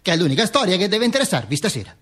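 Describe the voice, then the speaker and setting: An actor playing a dead, vain fascist dictator who narrates his own afterlife in a halting, mock-solemn stage monologue. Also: high-pitched, sing-song, a bit bright, breathy edge, exaggerated voice. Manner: halting, stammering repetitions, mock-solemn declaiming. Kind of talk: theatrical monologue, narrating to an audience.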